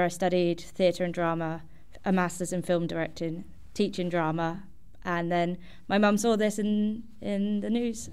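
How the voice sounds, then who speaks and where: A woman's voice: medium pitch, darkish tone, clear, resonant tone. A woman from England who moved to Australia as a teenager, chatting casually as a student guest. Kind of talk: casual reminiscing chat.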